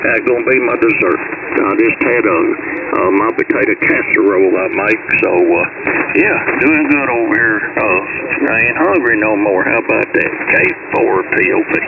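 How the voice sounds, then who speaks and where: A man with a gravelly Southern US accent chatting casually in a folksy, rambling way over shortwave ham radio. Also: lowish voice, moderate pace. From Kentucky, United States. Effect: shortwave SSB radio. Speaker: amateur radio operator. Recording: very noisy narrowband shortwave radio, distant.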